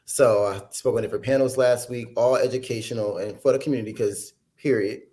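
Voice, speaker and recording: A man co-hosting a podcast, talking in an animated, sassy, slangy way. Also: medium pitch, brisk. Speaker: podcast co-host. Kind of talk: casual chat.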